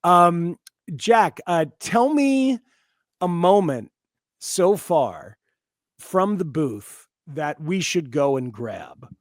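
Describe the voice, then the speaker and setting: A man's voice: a little high, animated, no room sound, studio quality. A man hosting a conversational sports interview, repeating words as he forms his thoughts. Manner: halting, repeats words while forming thoughts. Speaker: podcast host interviewer.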